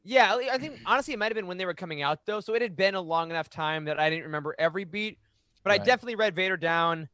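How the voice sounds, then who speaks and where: A man's voice: high voice, very fast, bright, forceful tone, clean tone, no room sound. A man in his thirties giving earnest, emphatic opinions in a casual podcast discussion, speaking close to a studio mic. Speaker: podcast co-host. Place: small home room, indoors. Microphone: boom-arm studio mic up close.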